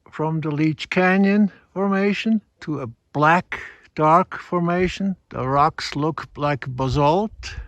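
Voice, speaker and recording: A man with a Swiss accent, explaining geology outdoors on a mountain hike in a measured, teaching way. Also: on the high side, fairly bright tone, dry recording. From Switzerland. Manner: measured explanatory, slightly non-native phrasing. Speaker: hiking geology guide. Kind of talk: outdoor geology field narration.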